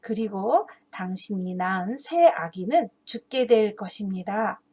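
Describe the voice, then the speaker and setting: A woman's voice: mid-range voice, lively intonation, measured pace, darkish tone, slightly rough, pitched below the performer's own voice. A woman voicing a stern male prophet rebuking a king in a children's Bible story, solemn and emphatic. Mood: stern, accusing.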